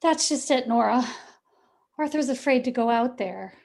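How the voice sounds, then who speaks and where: A woman's voice: a little high, normal speed, creaky, darkish tone, a little hiss or hum. A woman playing a sweet, fearful young bride in a radio play. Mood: coy.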